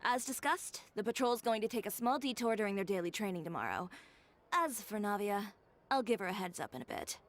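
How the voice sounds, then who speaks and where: An actress playing a confident, blunt, haughty young fashion designer in a video game, speaking with cool, dry self-assurance. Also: female voice, mid-range voice, animated, a little fast, natural voice. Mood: assured, protective.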